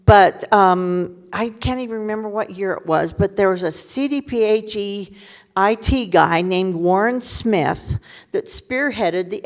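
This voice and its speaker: A woman presenting to a community meeting on a handheld microphone, chatty with laughing asides and self-corrections. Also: mid-range voice. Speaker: community group panelist. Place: museum meeting room.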